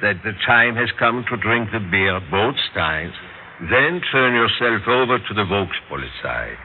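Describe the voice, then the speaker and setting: Casting: worldly German stranger in radio play, evasive and polite, highly animated delivery, muffled noisy old broadcast. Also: male voice, measured pace. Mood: grave, insinuating. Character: worldly, evasive German man in Cold War East Berlin. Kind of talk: 1960s radio drama acting.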